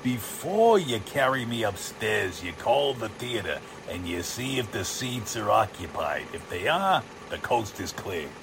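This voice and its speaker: Slow, low-voiced smug crime boss played by a man. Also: big pitch swings, full character voice. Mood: smug, commanding. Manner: smug, commanding. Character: cocksure scheming male crime boss. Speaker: actor. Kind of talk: 1940s radio drama acting. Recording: clear car engine noise.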